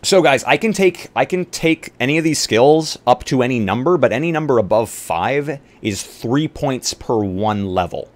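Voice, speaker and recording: A man's voice: mid-range voice, animated, moderate pace, hardly any pauses. A man chatting casually on a tabletop roleplay livestream, thinking aloud and bantering with some profanity. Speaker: tabletop roleplay streamer and player. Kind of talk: casual livestream chat while building a game character. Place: live online game stream. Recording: clear background noise.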